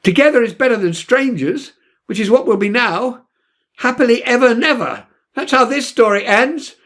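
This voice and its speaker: A man's voice: very high-pitched, normal speed, fairly bright tone, voice pushed up. A man voicing a heartbroken, raging teenage boy with magic, bitter and pleading, in a storybook reading. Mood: heartbroken rage. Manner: bitter, pleading, self-questioning.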